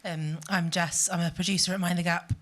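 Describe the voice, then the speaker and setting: A woman producer on a panel, hoarse from a cold, speaking casually and hesitantly. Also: fairly low pitch, level tone, a little fast.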